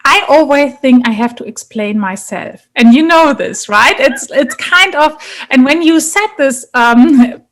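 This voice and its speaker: A woman's voice: high voice, animated, a little slow, hardly any pauses, a bit bright. A woman from Germany with a German accent, answering in a conversational interview and often checking for agreement as she searches for phrasing. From Germany, Munich. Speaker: interview guest, leadership coach and businesswoman.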